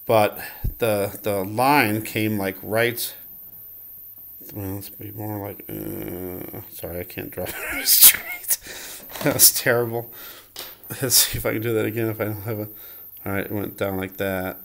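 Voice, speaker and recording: A man's voice: on the low side, very animated, measured pace, creaky, fairly bright tone, a little hiss or hum. A man vlogging in a casual, unscripted way, commenting as he goes in a hesitant, rambling manner and often correcting himself.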